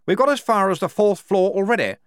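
A man playing a loud, hearty young army major. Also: expressive, fairly quick, clear, resonant tone, dry recording, studio quality. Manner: booming, jovial, tacks on "eh?". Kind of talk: audiobook character voice.

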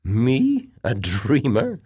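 A man's voice: fairly low pitch, big pitch swings, unhurried, no room sound, studio quality, pitched above the performer's own voice, heavily acted. A man voicing a fervent, bitter, scornful aristocratic officer in an audiobook, with a ringing, heated delivery. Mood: insistent. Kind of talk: dramatized audiobook dialogue.

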